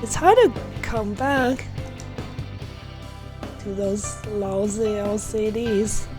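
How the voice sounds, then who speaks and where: A woman's voice: medium pitch, drawn-out words. A woman giving casual, giggly, rambling commentary on a game livestream, trailing off as she chats. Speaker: livestreamer playing a visual-novel game. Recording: music behind the voice. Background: game music.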